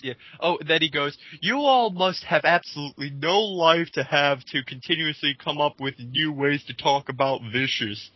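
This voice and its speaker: Sarcastic male commentator, bright and husky, lively delivery for mocking read-alouds. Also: a little high, moderate pace, hardly any pauses, dry recording, low-quality recording. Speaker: host of a dramatic reading.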